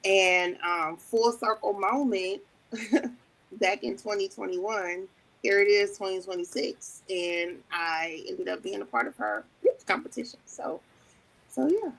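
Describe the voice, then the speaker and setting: A woman from Chicago, a guest on a talk show, telling her story in a relaxed way with lots of "um"s. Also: mid-range voice, measured pace, gravelly, rough recording. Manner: warm storytelling with many fillers. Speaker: wedding planner and ordained elder, guest. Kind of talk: casual interview talk.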